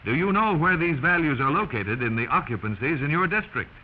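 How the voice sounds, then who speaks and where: An American man reading scripted instructional narration in the deliberate manner of a 1940s training film. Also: fairly high pitch, lively intonation. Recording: dull noisy 1940s film soundtrack.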